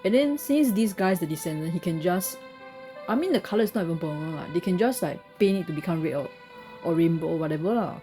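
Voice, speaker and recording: A woman with a Singaporean or Malaysian accent comments casually over gameplay. Her voice is on the lower side. Music from the game is clearly heard behind her. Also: animated, quick pace, warm tone. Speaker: game streamer.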